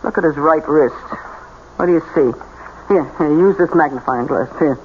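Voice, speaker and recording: A woman's voice: low-pitched, normal speed, natural voice. Woman acting bossy con artist lady, cool n insistent, old radio play, muffled with some hiss. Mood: scheming, instructive. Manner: commanding, insistent. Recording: dull, noisy old radio recording.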